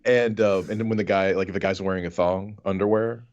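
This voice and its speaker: A man with a very deep voice talks casually on a comedy podcast. He explains silly things as if they were perfectly serious. The sound is a little dull. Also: steady tone, brisk, darkish tone. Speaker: podcast co-host.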